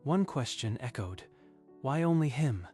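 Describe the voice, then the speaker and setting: A man reading scripted story narration in a measured, literary storytelling manner. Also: mid-range voice, animated, a little mellow, soft background music.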